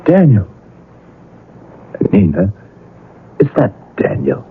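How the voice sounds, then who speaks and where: An actor playing an anxious, possessive middle-aged father in a 1970s radio drama, earnest and halting as he pleads. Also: on the low side, very animated, husky, full character voice. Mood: tense, pleading. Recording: muffled vintage radio broadcast.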